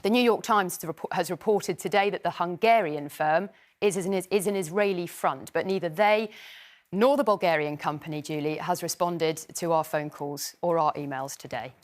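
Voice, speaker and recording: A woman's voice: on the low side, moderate pace. A woman explaining findings to a presenter as a television news reporter.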